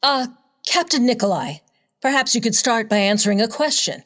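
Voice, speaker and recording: An actress playing an inquisitive visitor in a radio play, questioning in a probing yet tentative way. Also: female voice, a little high, expressive, normal speed, fairly bright tone. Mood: pointed. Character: questioning female visitor pressing the captain for answers.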